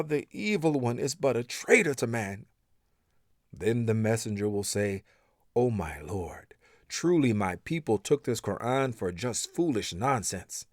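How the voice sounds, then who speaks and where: A man reads an English translation of scripture aloud as narrator, in a solemn, measured manner with dramatic emphasis. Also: mid-range voice, dry recording, studio quality. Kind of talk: expressive scripture recitation in English.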